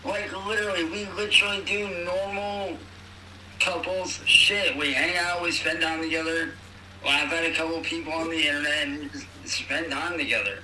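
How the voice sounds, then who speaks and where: A man's voice: a little high, fairly even intonation, normal speed, sharp tone. A young man chatting casually and slangily on an echoey, distant, noisy phone recording. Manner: slangy, swearing. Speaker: caller.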